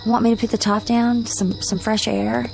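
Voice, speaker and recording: A woman's voice: on the high side, fairly even intonation, moderate pace, darkish tone, soft background music, natural voice, poor audio. An American woman playing a wry, teasing young wife in a radio drama, chatty and needling. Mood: puzzled, wondering.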